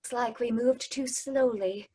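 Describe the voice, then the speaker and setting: A woman's voice: a little high, unhurried, mellow, slightly rough. A woman playing the hero's spirited young companion in an old-time radio drama. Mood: wry.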